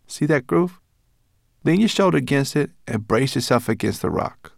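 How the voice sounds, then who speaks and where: A man voicing a brusque, furry male mountain creature who talks high and impatiently. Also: expressive, normal speed, creaky, no echo, clean studio sound. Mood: sly.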